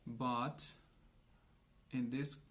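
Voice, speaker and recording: A man's voice: medium pitch, flat delivery, a bit bright. A man in his thirties from Colombia, teaching a live online English class for beginners, speaking simply and greeting viewers by name. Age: 30s. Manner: slow, simple speech for beginners.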